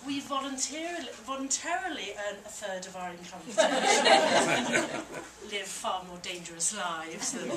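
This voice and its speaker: A woman's voice: medium pitch, lively intonation, a little slow. A British woman doing a stand-up comedy set to a live audience, with a dry, teasing, self-mocking delivery. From Britain. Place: function room with a live audience. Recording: echoey room, mic at a distance, loud noise. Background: audience laughter.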